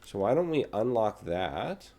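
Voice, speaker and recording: A young man with a cold and a raspy throat, casually talking through a video game as he plays. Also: fairly low pitch, measured pace. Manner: relaxed, chatty, talks while playing. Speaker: gaming channel host. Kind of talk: casual gameplay commentary.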